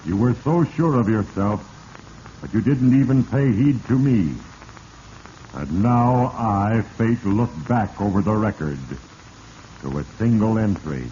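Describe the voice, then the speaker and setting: An actor playing Fate, an all-knowing, stern spirit who narrates with deliberate accusation in a 1940s radio drama. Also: male voice, lowish voice, expressive, mellow. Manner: deliberate, accusing second-person address. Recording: dull, noisy vintage radio recording.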